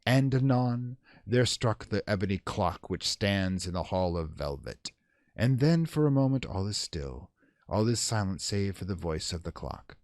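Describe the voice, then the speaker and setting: A man narrating a gothic horror tale in a solemn, theatrical way, with measured phrasing and dramatic pauses. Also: fairly low pitch, sing-song, no echo, clean studio sound.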